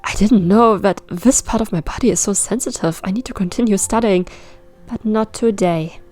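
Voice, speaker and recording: A woman's voice: mid-range voice, moderate pace, a little mellow, faint music behind, close to an everyday voice. A woman voicing a curious, studious young male alchemist, earnest and somewhat clinical, in a fantasy story. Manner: earnest, clinical when reporting.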